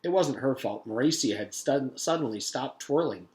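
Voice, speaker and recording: A man's voice: medium pitch, expressive, moderate pace, darkish tone, reverberant. A man reading a children's story aloud as a teacher would to his class. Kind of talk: storybook read-aloud with character voices.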